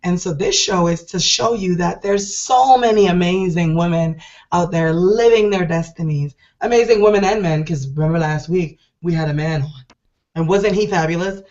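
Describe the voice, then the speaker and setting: A middle-aged woman hosting an online show, hoarse and deep-voiced from a cold, talking in a chatty, rambling, enthusiastic way. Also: normal speed, a little mellow, lo-fi sound. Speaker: host. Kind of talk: casual online show talk.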